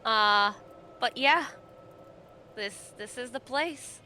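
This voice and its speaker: A woman playing an earnest nature-magic guide devoted to her fey homeland, speaking haltingly and heartfelt in a tabletop roleplay. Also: on the high side, pushed and bright, a little hiss or hum. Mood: awed, earnest.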